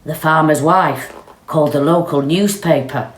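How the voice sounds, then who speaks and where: A woman reading a children's storybook aloud with expressive, deliberate delivery. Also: low voice, noisy background. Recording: roomy, echoey sound.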